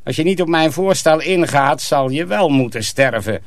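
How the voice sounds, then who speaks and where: An actor playing a domineering, menacing master sorcerer in a radio drama, commanding and threatening. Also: male voice, a little high, animated, moderate pace, no echo, clean studio sound. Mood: cold, threatening.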